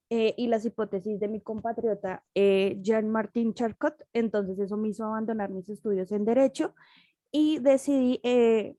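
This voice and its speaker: A young woman playing a French psychologist in a classroom role-play, reading her notes with pauses and self-corrections. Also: fairly high pitch, dark tone, no room sound, natural voice. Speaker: student presenting.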